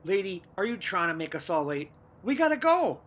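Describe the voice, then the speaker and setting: A man's voice: sits high, very animated, moderate pace, a bit bright, full character voice. A man playing a jocular, needling dockworker husband in a radio play. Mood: impatient. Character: joking, impatient dockworker husband, a wisecracker.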